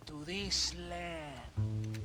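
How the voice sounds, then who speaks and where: An actor playing a sneering, menacing Mexican military villain in a video game, drawing out gloating words with a Mexican accent. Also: male voice, on the high side, full character voice. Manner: drawn-out, gloating delivery. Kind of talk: video game cutscene acting.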